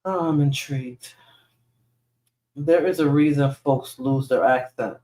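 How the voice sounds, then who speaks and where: A woman's voice: extremely low pitch, unhurried, mellow. New York woman chatting on a livestream. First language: Spanish. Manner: rambling, answers viewer comments aloud. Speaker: livestream host. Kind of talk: casual livestream chat.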